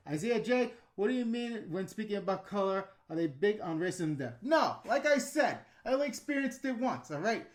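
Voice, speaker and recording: A middle-aged man with a New York accent, hosting a casual livestream and answering chat in a blunt, ranting way. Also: high voice. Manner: blunt, ranting, chatty with fillers. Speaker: YouTube host answering live chat.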